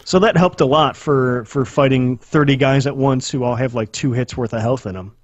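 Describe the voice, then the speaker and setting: A man's voice: medium pitch, moderate pace, no echo, highs cut off. A man co-hosting a podcast, chatting casually about video games in a blunt, sweary, exaggerating way. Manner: blunt, profane, hyperbolic. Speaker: podcast host. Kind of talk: casual video game discussion.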